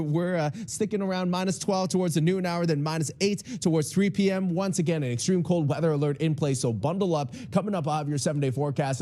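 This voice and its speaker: A man delivering a live weather report in a brisk, advisory way. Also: a little high, warm tone.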